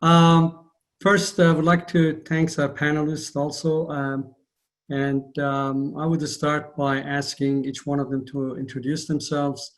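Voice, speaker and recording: A man's voice: a little high, little pitch movement, slow pace. A man moderating a virtual panel, speaking carefully and formally while losing his voice.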